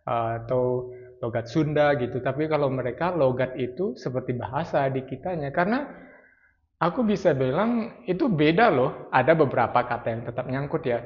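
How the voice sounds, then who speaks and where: A man's voice: mid-range voice, highs cut off. A man from North Sumatra with a Batak-tinged accent, chatting casually as a travel vlogger and mixing in English phrases. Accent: Batak-inflected Indonesian. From North Sumatra, Indonesia.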